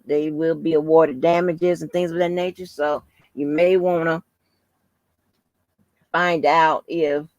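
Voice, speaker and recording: A woman hosting a casual livestream, rambling informally to her viewers in a soft, calming voice. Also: low voice, dry recording, lo-fi sound. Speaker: livestream host.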